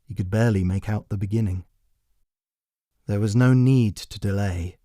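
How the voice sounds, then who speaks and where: A man narrating a dramatic scripted fantasy story with measured, deliberate phrasing. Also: lowish voice, mellow, no echo, clean studio sound. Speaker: author and narrator.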